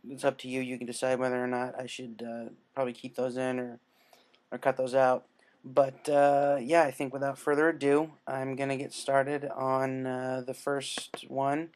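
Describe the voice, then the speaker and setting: A man's voice: mid-range voice, a little mellow. A man casually introducing and narrating fan fiction as its reader.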